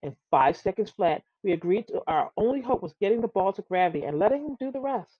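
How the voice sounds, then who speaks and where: A woman's voice: mid-range voice, expressive, quick pace, no room sound, narrow, tinny audio. A woman reading a children's tall tale aloud, expressively and with some stumbles over the words. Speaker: storybook reader.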